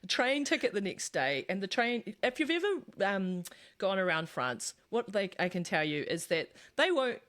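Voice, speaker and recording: A woman with a New Zealand accent co-hosting a casual podcast chat, chatty and quick to laugh as she tells anecdotes. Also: medium pitch, big pitch swings, a bit bright. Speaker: podcast co-host.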